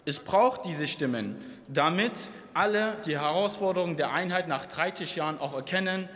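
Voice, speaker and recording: A man's voice: fairly high pitch, normal speed, fairly bright tone. A young man from eastern Germany giving a combative, impassioned parliamentary speech at a lectern microphone. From Saxony, eastern Germany. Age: young adult. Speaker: member of parliament. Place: large parliament chamber. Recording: reverberant chamber with audience murmur.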